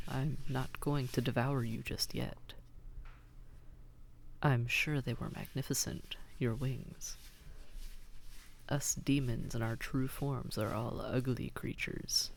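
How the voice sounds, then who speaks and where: A man playing a suave, teasing demon prince of Hell in an intimate audio roleplay, with a gravelly, unhurried, coaxing delivery that often drops toward a whisper. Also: mid-range voice, slight background noise.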